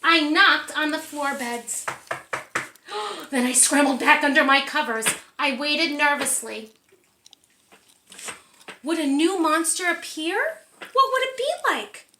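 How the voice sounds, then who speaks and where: A woman reading a storybook aloud to preschoolers, encouraging and pausing to ask young listeners questions. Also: high-pitched, expressive, noisy background. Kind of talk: animated children's storybook reading. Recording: echoey room.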